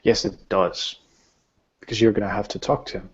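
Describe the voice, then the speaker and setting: A man playing a grave, concerned female werewolf elder in a tabletop roleplaying game, speaking haltingly and earnestly as blunt counsel is given. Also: fairly low pitch, steady tone, husky, muffled recording. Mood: grave, advising.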